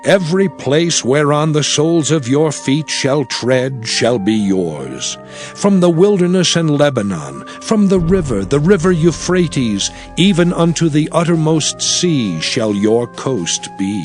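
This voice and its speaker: Slow, solemn prophet over music. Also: male voice, on the high side, big pitch swings. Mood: solemn exhortation. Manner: measured, solemn, declamatory. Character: solemn Hebrew prophet leader exhorting his people. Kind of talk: dramatized scripture reading.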